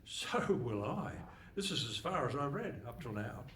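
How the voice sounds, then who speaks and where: Man playing a wry narrator, swooping pitch. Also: very animated, moderate pace, fairly bright tone. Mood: wry, questioning. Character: wry, bemused male mystery-play narrator. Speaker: narrator in a radio play. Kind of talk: live comic radio theatre narration. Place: hall stage before a live audience. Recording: faint noise, distant stage mic.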